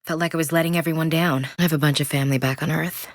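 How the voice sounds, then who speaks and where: An actress plays a young American woman marine in a cinematic sci-fi game. Her voice sits low for a woman, in a dry, close recording with no room sound. She speaks with blunt military directness, candid and wry. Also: fairly even intonation, rapid-fire, no echo, natural voice. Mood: tender, troubled. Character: tough, candid young female space marine. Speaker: video game voice actor.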